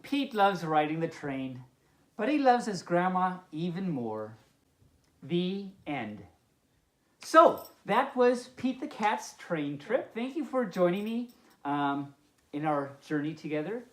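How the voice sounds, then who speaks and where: Animated woman reading a storybook, roomy echo. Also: on the low side, slow pace. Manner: playful, amused, commenting on the story as it goes. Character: friendly playful pup storyteller. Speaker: host of a children's story time. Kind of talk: expressive storybook read-aloud with chatty asides. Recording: roomy, echoing indoor sound.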